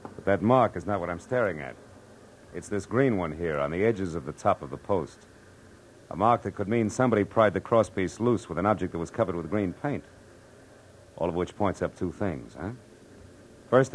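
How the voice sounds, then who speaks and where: A man's voice: low-pitched, lively intonation, normal speed. A man acting a terse, wry American private detective in a 1940s radio drama. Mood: wry, brisk. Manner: terse, dry, wisecracking. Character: hard-boiled, wry, commanding male private detective. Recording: noisy old radio recording.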